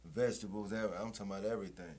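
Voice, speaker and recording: Very deep-voiced man, brash and profane. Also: steady tone, rapid-fire, a crowd in the background. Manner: boastful, profane, repeats "you know what I'm saying". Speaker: rapper and interview guest. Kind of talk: casual interview chat.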